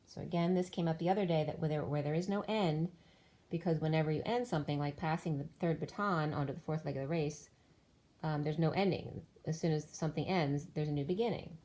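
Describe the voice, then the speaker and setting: A woman's voice: low voice, fairly quick, warm tone. A woman giving a solo spiritual tarot reading, explaining cards and numbers one by one while losing her voice. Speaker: tarot reader.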